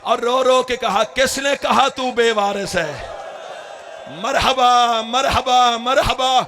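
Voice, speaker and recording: A man's voice: very high-pitched, noisy background. A man delivering an impassioned mourning sermon, crying out and wailing to the mourners. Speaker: religious orator.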